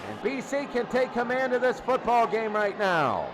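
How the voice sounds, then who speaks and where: Male radio football play-by-play caller, high voice, excitable, repetitive calls, very noisy crowd backdrop. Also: normal speed. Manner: excitable, repeats phrases. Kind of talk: radio sports commentary. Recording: loud noisy stadium crowd behind. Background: roaring crowd.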